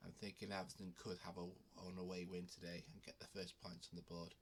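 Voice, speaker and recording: A man's voice: low voice, flat delivery, fast talker, slight background noise. A man with a cold hosting his betting video, talking casually with frequent ums and restarts. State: has a cold. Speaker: betting channel host. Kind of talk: casual talk to camera.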